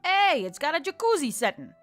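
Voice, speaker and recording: A woman voicing a gruff, brash female centaur in a story, with a blunt, slangy manner and a hot temper. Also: high-pitched, very animated, fairly quick, a bit bright, soft background music, voice pushed up. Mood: pleased, surprised.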